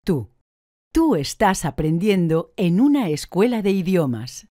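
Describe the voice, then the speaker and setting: A woman answers drill questions very slowly, with a mellow, lowish voice and lively rises and falls in pitch. Every word is carefully articulated. The recording is close and dry. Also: no echo. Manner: slow, deliberate, clearly articulated answers. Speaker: language course speaker.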